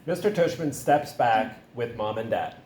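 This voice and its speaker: A man's voice: medium pitch, animated, normal speed, a little mellow. Man narrating in an echoey room. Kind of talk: reading stage directions in readers theater. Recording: echoey room with faint background noise.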